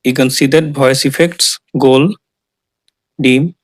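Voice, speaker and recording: A man's voice: medium pitch, fairly even intonation, slow pace, dry recording. A man narrating a scripted step-by-step tech tutorial in short, plain instructional phrases. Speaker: tutorial host.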